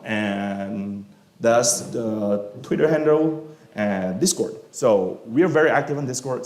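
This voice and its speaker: A man from Malaysia, a software engineer, answering questions at a meetup in a relaxed, casual way with many fillers, often trailing off quietly. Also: fairly low pitch. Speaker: guest speaker, software engineer. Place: meetup event room. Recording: echoey room.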